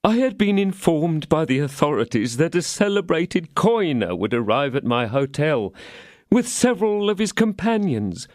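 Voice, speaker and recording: A man's voice: fairly high pitch, no echo, clean studio sound, voice pushed up. A man voicing a frightened, fawning innkeeper who pleads in a lamenting tone. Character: trembling fawning middle-aged male innkeeper.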